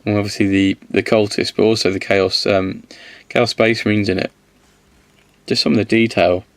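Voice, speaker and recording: A man talks casually through a miniatures review, his voice a little hoarse from a cold. He sits somewhat low and speaks into a dry, close sound. He rambles, counts aloud and corrects himself as he goes. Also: level tone, normal speed, no echo.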